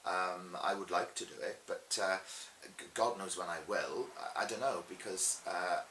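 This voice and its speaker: A middle-aged man with a Welsh accent, chatting casually as an interviewed stage performer and telling stories in a lively, chatty way. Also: low-pitched, moderate pace. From Swansea, Wales. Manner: chatty, animated retelling with acted-out quotes. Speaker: pantomime dame and cabaret performer being interviewed. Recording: roomy echo with faint hiss.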